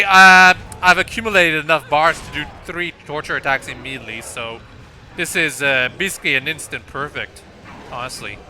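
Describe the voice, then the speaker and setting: A man with a very bright, forward voice talks casually through a video game. He sits a bit high in pitch and explains things in a slightly hesitant way, with game music playing behind him. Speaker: let's-play commentator. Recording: loud game music under the voice. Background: loud game music.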